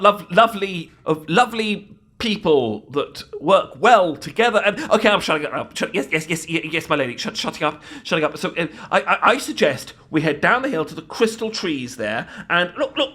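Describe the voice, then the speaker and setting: A flustered British knight for a comic fantasy audio drama. His voice sits high and swings up and down freely. He stammers, repeats himself and stays nervously polite, like a lovestruck, adorkable bumbler. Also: fairly bright tone. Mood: flustered, smitten, then hopeful, awkward. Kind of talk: comedic fantasy audio roleplay.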